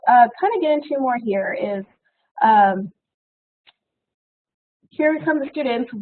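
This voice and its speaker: High-voiced woman hosting a webinar. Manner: brisk, directing speakers. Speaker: webinar host. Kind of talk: moderating a panel. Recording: phone-like audio with faint noise.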